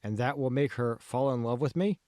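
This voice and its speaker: A man's voice: medium pitch, moderate pace, dark tone, no echo, clean studio sound, lightly acted. A man playing an eager, insecure, lovesick man in an audiobook, asking short, hesitant, pleading questions. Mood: eager, unsure. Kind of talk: dramatic audiobook dialogue.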